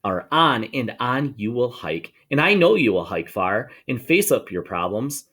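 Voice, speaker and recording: A man reading a rhyming children's book aloud to schoolchildren in an expressive, sing-song way, drawing out words for emphasis. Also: mid-range voice, a little slow, a little hiss or hum. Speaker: storybook reader for schoolchildren.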